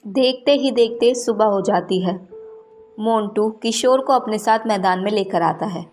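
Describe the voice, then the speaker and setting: A woman's voice: mid-range voice, unhurried, darkish tone, soft background music. A woman reading scripted narration for a children's cartoon story.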